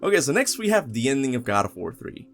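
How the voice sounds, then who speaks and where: A man's voice: mid-range voice, big pitch swings, fast talker, heavy background noise. A man hosting a video essay, narrating a countdown in a casual, opinionated and emphatic way. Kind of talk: countdown commentary, scripted but casual narration.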